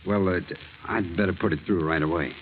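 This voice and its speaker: Deep-voiced earnest bachelor. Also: male voice, quick pace. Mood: apologetic, hesitant. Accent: American. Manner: earnest, hesitant. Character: earnest, hesitant bachelor office artist, shyly hopeful suitor. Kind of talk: 1940s radio acting. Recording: muffled, noisy old radio recording.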